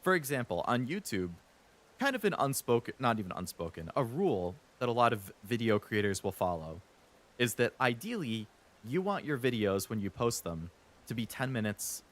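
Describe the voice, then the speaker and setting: A man streaming a game, chatting casually and rambling a bit, stuttering now and then when he talks fast. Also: medium pitch, very animated. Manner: rambling, chatty, lots of fillers.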